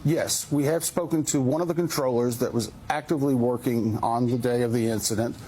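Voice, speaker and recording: Male official at press briefing, measured, mid-pitched, slight ambient noise. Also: steady tone, dark tone. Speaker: safety investigation official.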